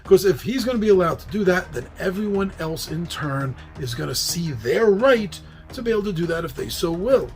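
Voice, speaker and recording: Lively high-voiced New York man hosting. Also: normal speed, few pauses, faint music behind. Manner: chatty, animated, laughs at his own slips. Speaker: host. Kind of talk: casual monologue on board games.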